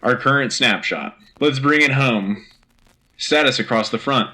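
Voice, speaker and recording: A man's voice: mid-range voice, a little slow, sharp tone, rough recording. A man playing an earnest war news anchor on a scripted radio drama, delivering bulletins in a clipped, solemn, dramatic way. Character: Joe Turner, earnest propaganda-era war news anchor. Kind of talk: scripted wartime news broadcast acting.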